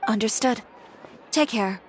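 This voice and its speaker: A woman's voice: on the high side, measured pace, noisy background, close to an everyday voice. A woman playing a proud, stubborn fashion designer in a video game, speaking in a cool, firm, clipped way. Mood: determined. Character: proud, stubborn, fierce young boutique-owning designer.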